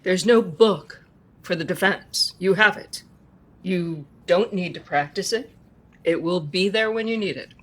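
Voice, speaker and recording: A woman acting in an audio drama as a calm, reassuring support group leader who gently questions and encourages. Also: on the low side, a little slow. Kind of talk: conversational audio drama acting, live recording.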